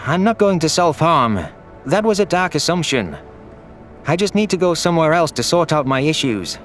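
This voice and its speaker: A man's voice: a little high, lively intonation, moderate pace, a bit bright, soft background music, voice pushed up. A man voicing a bitter, defensive young male alien soldier, pleading intensely in a sci-fi audio drama.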